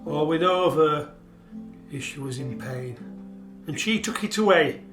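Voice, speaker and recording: An actor playing a sombre radio play narrator, telling the tale in a measured, emphatic way. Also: male voice, on the high side, lively intonation. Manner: measured, emphatic, repeating phrases. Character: sombre, measured male narrator recounting a marriage's tragedy. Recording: roomy echo with guitar behind. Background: soft plucked guitar music.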